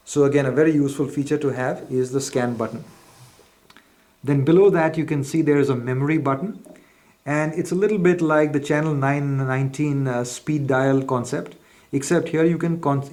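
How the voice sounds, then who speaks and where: Man, medium pitch, instructional demo, step-by-step explanations, formal phrasing. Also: darkish tone. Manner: methodical, explanatory, formal wording. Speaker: product demonstrator. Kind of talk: instructional product walkthrough, unscripted.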